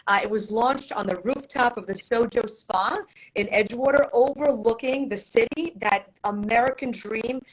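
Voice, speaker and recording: A woman's voice: a little high, normal speed, rough recording. A middle-aged woman from Poland, whose first language is Polish, speaking as an attorney guest in a live-streamed interview, confident and storytelling, with rhetorical questions.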